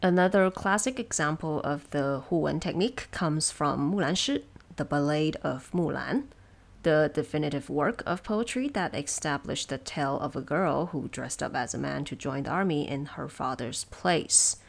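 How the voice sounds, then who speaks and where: A woman presenting explanatory production notes from a script in a measured, instructive way. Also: sits low, animated, creaky. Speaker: audio drama producer and presenter.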